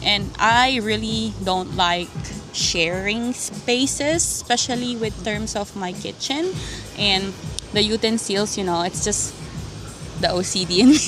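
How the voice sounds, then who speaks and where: A woman with a Filipino accent talks at a middling pitch in a casual, slangy vlog style. She rambles informally as she addresses her viewers, with music clearly playing behind her. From Philippines (Manila). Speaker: vlogger. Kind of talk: casual chatty personal vlog. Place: quiet empty cafe.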